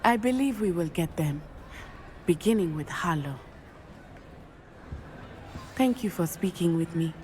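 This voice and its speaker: A woman's voice: on the low side, expressive, a little slow, long pauses, darkish tone. A woman playing an earnest, determined teenage schoolgirl witch in a video game, with careful, heartfelt phrasing and ambient noise behind her. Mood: determined, grateful. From southern Africa. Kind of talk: scripted video game dialogue.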